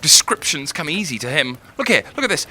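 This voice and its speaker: Anguished young writer, brightly intense. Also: male voice, on the high side, very animated, fairly quick, slight background noise, heavily acted. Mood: envious frustration. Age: young adult. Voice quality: intense, emotional delivery. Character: anguished, self-doubting young male writer, lovesick and volatile. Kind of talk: audio drama acting.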